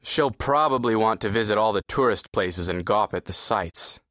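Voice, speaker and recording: An actor playing a bored, sarcastic young prince with an aristocratic, disdainful manner, in an audiobook. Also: male voice, lowish voice, normal speed, fairly bright tone, dry recording, clean studio sound, lightly acted. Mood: wry disdain. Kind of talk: full-cast audiobook character acting.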